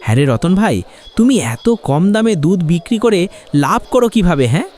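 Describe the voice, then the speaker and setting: Man acting a swooping, high-voiced scheming village milkman. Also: very animated, fairly quick, a little mellow. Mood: casual. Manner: wheedling and scolding, argumentative. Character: scheming, bossy village milkman, a dishonest husband. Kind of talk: cartoon moral-tale acting. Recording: music behind the voice.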